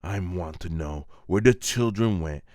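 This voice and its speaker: A man as a low, brooding vigilante. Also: measured pace, darkish tone, no room sound, studio quality. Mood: cold interrogation. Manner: terse, menacing, controlled. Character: brooding male vigilante, cold, threatening interrogator. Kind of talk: amateur manga voice-over acting.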